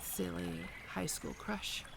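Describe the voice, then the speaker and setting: A performer playing a gentle young best friend with a secret crush, speaking softly and hesitantly in an ASMR roleplay, comforting and tender. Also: mid-range voice, little pitch movement, takes it slowly, gravelly, heavy background noise. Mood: wistful. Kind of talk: soft-spoken ASMR roleplay.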